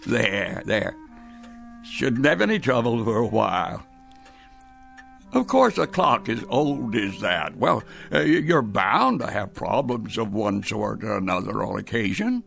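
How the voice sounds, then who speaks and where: An actor playing an anxious, stubborn old grandfather in a radio drama, stammering and muttering in a gravelly voice. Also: fairly low pitch, sing-song, measured pace, a bit bright, full character voice. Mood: genial. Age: older. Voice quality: gravelly, creaky. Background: music.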